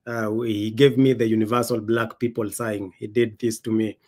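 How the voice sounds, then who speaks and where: A man's voice: on the low side, level tone, normal speed, darkish tone. A man from Kenya with a Kenyan accent, hosting a podcast in a casual, emphatic way and acting out quoted dialogue. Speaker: podcast host. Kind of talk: casual conversational storytelling.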